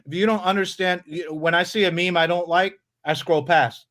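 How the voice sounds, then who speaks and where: Rambling, animated man, slightly high voice. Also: moderate pace. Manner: rambling, animated, restarts often. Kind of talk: casual group chat.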